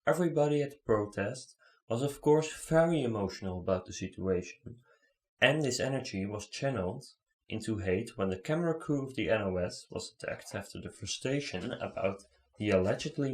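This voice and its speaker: A man's voice: lowish voice, expressive, moderate pace, low-quality recording. A man commenting on a video clip, rebutting it in an earnest, argumentative way.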